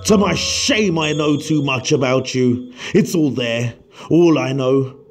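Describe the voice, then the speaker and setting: A man's voice: medium pitch, slow pace, soft background music, lightly acted. A man playing a bitter, despairing museum professor, anguished and formal, in a comic-book drama.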